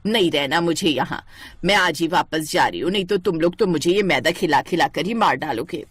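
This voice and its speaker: A woman's voice: deep voice, expressive, a little fast, husky, voice pushed down. A woman voicing a grumbling older aunt in a cartoon story, complaining theatrically in disgust.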